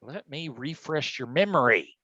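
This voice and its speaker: An actor playing a hard-boiled, blustering and rather dim private detective, talking tough slang in a comic noir staged reading. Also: male voice, medium pitch, expressive, moderate pace, sharp tone, no echo, highs cut off. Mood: exasperated bluster.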